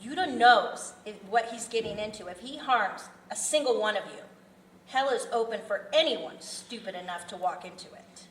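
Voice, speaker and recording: A woman reading the part of a formal, evasive serial killer in a script table read, halting and businesslike. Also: medium pitch, animated, a bit bright, lightly acted. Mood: stern. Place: indoor festival venue table read. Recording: distant echoey room with background noise.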